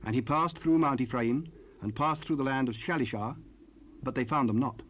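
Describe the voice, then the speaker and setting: A man narrates the Bible in a formal, measured style. His voice sits at a middle pitch, on an old cassette transfer with faint hiss. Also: low-quality recording. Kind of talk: scripted scripture narration. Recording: hissy 1970s cassette rip.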